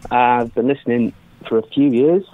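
A man's voice: medium pitch, normal speed, no echo. Man calling in over a phone line. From Manchester, England. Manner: hesitant and friendly. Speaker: caller. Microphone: telephone.

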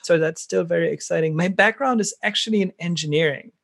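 A man's voice: sits high, fast, creaky, a bit bright, no echo, clean studio sound. A middle-aged man from Germany with a German accent, a startup founder talking enthusiastically in an interview, rambling with frequent restarts. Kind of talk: conversational interview.

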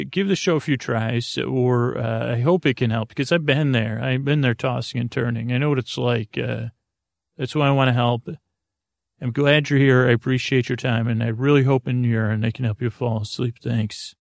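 A man with a gravelly, creaky voice hosts a bedtime podcast, drifting from thought to thought with plenty of fillers and false starts. His delivery is soft, self-deprecating and reassuring, and the recording is dry and close, with no room echo. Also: mid-range voice. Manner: meandering and digressive, with many fillers and restarts. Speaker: podcast host. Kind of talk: rambling bedtime podcast monologue.

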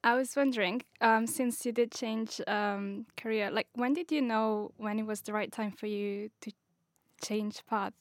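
Woman from Morocco, brightish voice, halting questioner, dry sound. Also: fairly high pitch, steady tone, dry recording. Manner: hesitant, many ums. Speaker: audience member asking a question. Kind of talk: asking a question.

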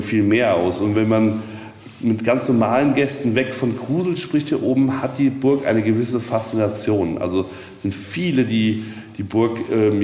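A man's voice: on the low side, darkish tone. A man giving an interview in a casual storytelling style, as the leaseholder of the place he talks about. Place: at a hilltop castle, reverberant. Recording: echoey, long reverb, noisy ambience.

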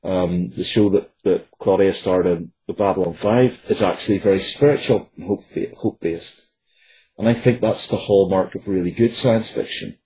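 A man's voice: low-pitched, steady tone, normal speed, warm tone, husky, rough recording. A man answering as an interview guest, conversational and earnest. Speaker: interview guest, science fiction writer. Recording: faint background noise.

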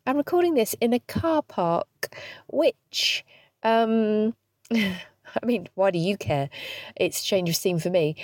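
Woman podcast host, hay-fever stuffy voice, lowish pitch, cheeky chatty tone, dry close sound in a parked car. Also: a little mellow, no echo. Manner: chatty, cheeky and self-deprecating, laughing often. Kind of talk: casual solo podcast chat.

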